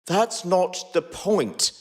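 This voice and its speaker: A man's voice: lively intonation, drawn-out words. A man reading the dialogue of a fan fiction aloud, shifting his voice between characters. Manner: voices several characters by shifting pitch and pace. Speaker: podcast co-host reading fanfiction.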